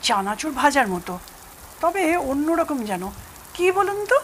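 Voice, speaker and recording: An actress playing a genial Bengali mother-in-law in an audio drama, polite and gently teasing. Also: high-pitched, sing-song, measured pace, slight background noise. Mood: curious.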